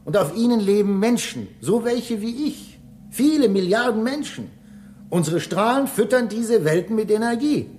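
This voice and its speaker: Excitable space station engineer guy, highish voice that swings a lot, explaining emphatically, radio play. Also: normal speed, a little hiss or hum. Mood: patient, emphatic. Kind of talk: German radio drama acting.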